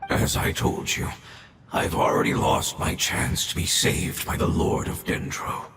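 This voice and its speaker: A man voicing an ancient, dying fungus creature in a video game, noble and weary, speaking solemnly and formally in a gravelly, breathy voice. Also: measured pace, very noisy, lightly acted. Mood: resigned, grateful. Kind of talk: scripted video game dialogue. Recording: echoing, distant, processed sound. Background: music.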